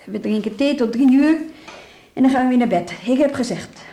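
Weary, guarded woman, mellow voice. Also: high voice, fairly quick, roomy sound. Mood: firm, weary. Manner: curt, firm, matter-of-fact. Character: weary, guarded but kindly adult woman, reluctant host. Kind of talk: radio drama acting, naturalistic dialogue.

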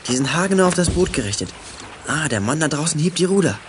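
A self-assured teenage boy sleuth played by a man in a children's radio play. The voice is fairly high for a male, matter-of-fact and slangy, over clearly noisy outdoor ambience. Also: animated, fast, lightly acted. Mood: focused. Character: teenage boy amateur detective Michael, cool and self-assured.

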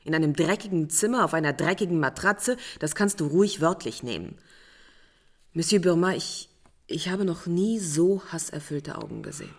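A woman's voice: on the low side, lively intonation, normal speed. An actress playing a proud, defiant married woman under suspicion in a radio crime drama, guarded and curt in manner. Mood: bitter, confessing.

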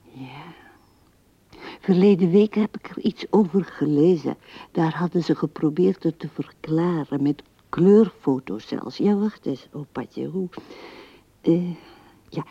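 An actress playing an old widow in a radio drama, musing tenderly to her dead husband in halting sentences that drift into murmurs. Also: low-pitched, mellow, no room sound, heavily acted. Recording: dull 1970s radio recording.

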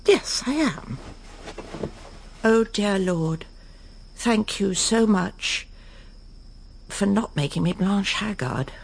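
An actress playing a prim, self-satisfied, controlling middle-aged English wife in a radio drama, brisk and genteel. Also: female voice, mid-range voice, animated, vocal fry, a little hiss or hum. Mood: uneasy musing. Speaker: lead actress and narrator. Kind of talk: radio drama acting with inner monologue.

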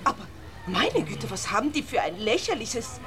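A woman's voice: a little high, wildly swooping pitch, normal speed, crowd noise. A woman playing a prim, easily frightened little doll-like creature in a children's radio drama, theatrical and old-fashioned in speech, prone to wailing and stammering. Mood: haughty, refined.

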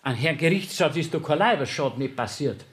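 An actor playing an officious, inquisitive village court clerk with a Bavarian accent in a dialect radio play. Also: male voice, a little high, expressive, brisk, close to an everyday voice. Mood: inquisitive concern.

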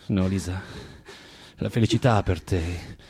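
An actor playing a bitter, tormented man in a dramatic theatrical reading for radio, moving between hushed murmurs and outbursts. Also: deep voice, slightly rough, heavily acted. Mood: cruel, menacing. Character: bitter, tormented man, preaching and cruel, then remorseful.

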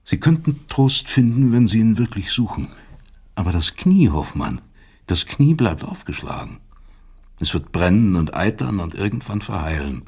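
Deep, raspy, disgraced professor. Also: male voice, sing-song, darkish tone, heavily acted. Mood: sardonic consolation. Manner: measured, sardonic lecturing. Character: disgraced, bitter male professor, philosophical and resigned. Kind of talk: German radio play acting.

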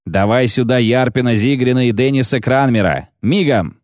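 A gruff dwarf battle commander, acted by a male reader, coarse and defiant. The voice is a hoarse growl that barks out its words, recorded dry in a fantasy audiobook. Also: medium pitch, normal speed, a little mellow, no room sound, lightly acted. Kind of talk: audiobook character acting.

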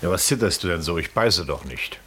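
An actor playing a genial, probing older judicial councillor in a radio play, jovial yet formal. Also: male voice, sits low, animated, brisk, bright, forceful tone, slight background noise. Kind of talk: German radio play acting.

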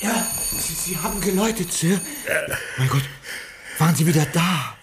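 A man's voice: a little high, animated, normal speed, noisy background, natural voice. An actor playing a puzzled, deferential butler in a German radio play, polite and hesitant as he works things out. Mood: flustered, deferential. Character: puzzled, deferential male butler of a haunted castle.

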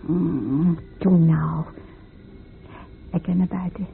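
An actress playing an affectionate, sleepy wife who coaxes and coos in an intimate Dutch-language radio play. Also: female voice, on the low side, steady tone, slow pace, gravelly, slight background noise. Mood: coaxing, tender. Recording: muffled old radio play recording.

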